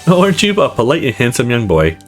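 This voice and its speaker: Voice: mid-range voice, wildly swooping pitch, fast talker, faint music behind. A player voicing a friendly, doting middle-aged mother in a visual novel.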